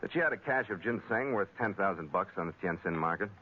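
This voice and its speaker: An actor playing a tough, laconic sea captain with a clipped, dry delivery in 1940s radio drama style. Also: male voice, low-pitched, expressive, fast, a little hiss or hum. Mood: wary, laconic. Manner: clipped, dry, hard-boiled. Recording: muffled 1940s radio recording with music.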